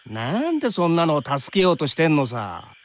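Animated, easygoing young anime guy. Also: fairly high pitch, normal speed. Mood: puzzled, teasing. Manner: casual, chatty, slangy. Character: easygoing young man, a worried time traveler. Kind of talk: Japanese anime game voice acting.

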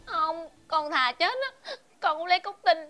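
Sharp, very high-voiced defiant daughter. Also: female voice, unhurried, soft background music, full character voice. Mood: defiant, disgusted. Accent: Southern Vietnamese. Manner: whiny, sharp-tongued. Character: defiant, aggressive young daughter refusing marriage. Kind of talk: fairy-tale television drama acting.

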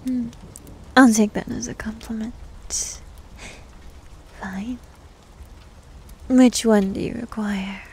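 Woman playing a slow, whispering succubus demon girl. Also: on the high side, fairly even intonation, full character voice. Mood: coy, intrigued. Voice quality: soft, breathy whisper. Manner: slow, coy, teasing. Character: needy, teasing goth succubus demon girl, centuries old. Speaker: ASMR roleplay performer. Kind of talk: cinematic ASMR roleplay.

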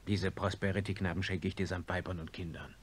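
An actor playing a wealthy, impatient industrialist in a radio drama, with a commanding manner. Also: male voice, deep voice, fairly even intonation, brisk, lightly acted. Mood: smug, elated. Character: wealthy industrialist reborn in a young body, imperious, impatient. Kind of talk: German radio drama acting. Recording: hissy radio play recording.

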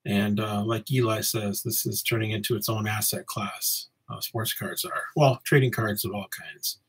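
A man in his forties, originally from Samoa, hosting a casual solo podcast from his home office, talking in a relaxed, rambling way with many ums. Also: lowish voice, normal speed, husky. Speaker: podcast host. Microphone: webcam framing, seated at desk.

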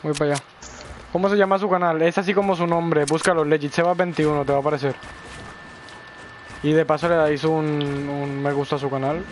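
Excitable man streaming a game. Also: a little high, a little fast. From El Salvador. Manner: excitable, repeats a catchphrase, switches to English. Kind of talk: live gameplay chat. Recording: noisy game audio behind.

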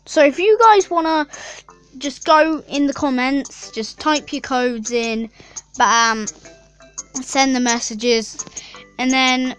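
A woman's voice: sits high, takes it slowly, clear, resonant tone, low-quality recording. Young girl chatting over game music. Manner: rambling, chatty, giggly, with many "so yeah" fillers. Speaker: gaming YouTuber. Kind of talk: casual mobile game commentary.

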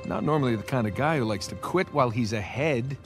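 A quick, sly treasure hunter, voiced by a man, keeps up dry, joking banter in a game cutscene. His voice sits at an ordinary middle pitch. A film score plays clearly behind him. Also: animated, close to an everyday voice. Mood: wry. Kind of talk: video game cutscene acting. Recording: music behind the voice. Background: film score music.

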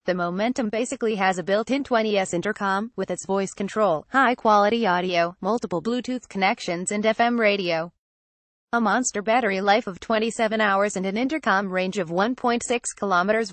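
A woman's voice: medium pitch, dry recording. A woman narrating scripted product news, reading a written article word for word. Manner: reads written article word for word, spelling out abbreviations.

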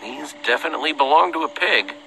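A man's voice: on the high side, lively intonation, fast, vocal fry, bright, forceful tone, a little hiss or hum, heavily acted, poor audio. An actor playing an earnest, anxious young man in a video game, calling out expressively and urgently. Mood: worried, searching. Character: earnest, anxious young man searching for his lost pig.

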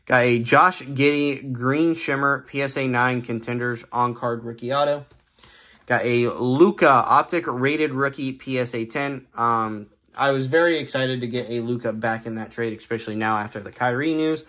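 Young male host, bright tone, quick casual rundown of card buys at a table, lots of "uh"s. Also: medium pitch. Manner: brisk listing of items with frequent fillers. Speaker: sports card dealer and channel host. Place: indoor room, cards on a table.

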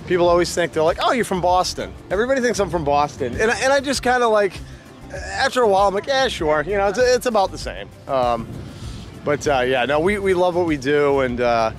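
A man's voice: sits high, lively intonation, a little fast, faint music behind. A man with a Maine accent, hosting a casual vlog outdoors and chatting loosely with many fillers and restarts. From Maine, USA. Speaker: vlog host. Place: outdoors in a town.

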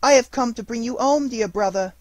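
A man's voice: extremely high pitch, a little fast, no room sound, pitched above the performer's own voice. A man voicing an eager, gleeful little girl who drops her h's, in a dry recording.

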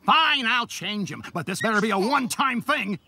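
An actor playing a cranky, scheming old male scientist in a cartoon, blustering and grumbling excitably. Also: sits high, moderate pace, noisy background. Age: older. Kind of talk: animated cartoon acting. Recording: loud music behind.

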